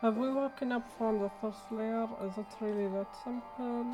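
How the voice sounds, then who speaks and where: A woman's voice: on the high side, fairly even intonation, moderate pace. A woman livestreaming a game with casual, musing commentary, often echoing phrases back. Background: game music.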